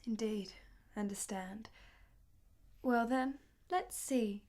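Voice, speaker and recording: A woman's voice: fairly high pitch, takes it slowly, darkish tone. A woman playing an anguished, tender married lover in a radio play, speaking with earnest emotion. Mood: composed, tender. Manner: emotional, earnest, dramatic delivery.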